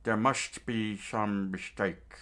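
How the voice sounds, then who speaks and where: A man's voice: lowish voice, fairly even intonation, slow pace, a bit bright. A man voicing a stern, loyal male guard captain who speaks in a firm, commanding way. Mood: troubled.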